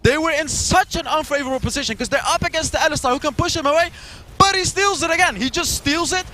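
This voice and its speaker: Upbeat male color caster, high bright voice, explains the plays. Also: quick pace, a little hiss or hum. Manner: enthusiastic, explains replays. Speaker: esports analyst. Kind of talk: color commentary.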